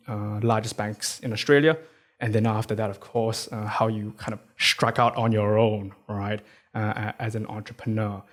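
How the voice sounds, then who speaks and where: A man with a mid-pitched voice hosts a podcast, reading an introduction before moving into conversation with his guest. He talks in a friendly way and peppers his questions with "uh," "like," and "right?". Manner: friendly, many fillers like "uh", "right?" and "like". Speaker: podcast host. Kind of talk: scripted intro, then casual interview.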